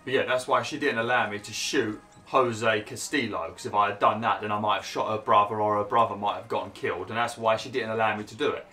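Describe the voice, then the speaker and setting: A man's voice: lowish voice, fairly even intonation, quick pace, few pauses, pushed and bright, faint music behind. A young man commentating over gameplay, chatty and grumbling, saying he is losing his voice. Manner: chatty, grumbling, laughs at himself. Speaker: gaming YouTuber.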